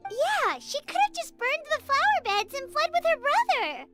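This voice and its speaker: A woman's voice: extremely high pitch, very animated, over loud music. A woman voicing a tiny, eager female companion creature in a game, jumping into the conversation. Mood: curious. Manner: eager, quick to jump in. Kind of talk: game voice acting.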